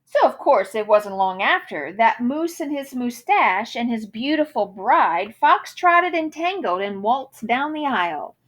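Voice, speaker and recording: A woman's voice: fairly high pitch, sing-song, unhurried, few pauses, a bit bright. A woman reading a children's picture book aloud in a theatrical manner, savoring the rhymes and wordplay with dramatic emphasis. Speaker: storybook reader.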